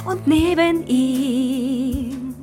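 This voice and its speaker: Dreamy love verse, half sung, high voice, super slow, music behind. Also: female voice. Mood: dreamy, rapturous. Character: dreamy woman reciting and singing of love. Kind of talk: slow lyrical recitation and song.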